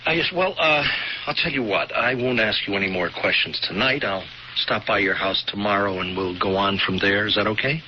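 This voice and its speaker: An actor playing a halting, polite state trooper. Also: male voice, lowish voice, close to an everyday voice. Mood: backing off. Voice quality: halting, full of "uh" and "well". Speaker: radio drama actor. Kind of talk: 1970s radio drama acting. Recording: lo-fi old radio broadcast, faint hiss.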